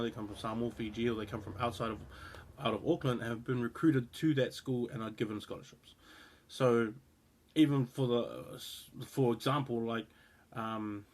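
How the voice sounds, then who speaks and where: A man's voice: medium pitch, darkish tone. A man in his thirties with a New Zealand accent, giving his opinion in casual talk to the camera, rambling with frequent fillers and restarts. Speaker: rugby show host.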